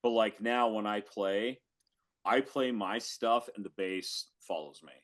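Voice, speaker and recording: A man's voice: on the low side, unhurried. A middle-aged man, a drummer guesting on a podcast, talking casually in an informal, slangy way. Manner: informal, says bro and like often. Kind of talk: casual chat.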